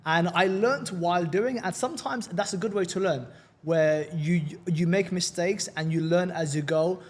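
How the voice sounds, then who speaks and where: A man's voice: high voice, moderate pace. A man from London with a London accent, a guest in a casual podcast interview. He talks in an upbeat, chatty way and often repeats words as he starts a sentence. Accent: London English. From England.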